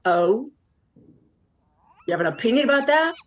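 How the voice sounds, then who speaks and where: A woman's voice: fairly high pitch, big pitch swings, moderate pace, lo-fi sound. A woman acting a blunt, lonely forty-nine-year-old who probes with wry questions in a naturalistic play. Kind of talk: naturalistic one-act play dialogue.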